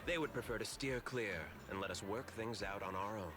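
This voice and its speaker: A man playing a courteous, composed corporate manager in a video game, speaking in polite, measured explanations. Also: lowish voice, lightly acted. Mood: composed, explanatory.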